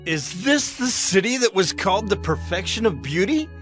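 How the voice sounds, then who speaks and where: A high-pitched, jeering passerby. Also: male voice, big pitch swings, normal speed, fairly bright tone, soft background music. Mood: mocking. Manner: jeering, incredulous. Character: mocking male passerby jeering at a fallen city.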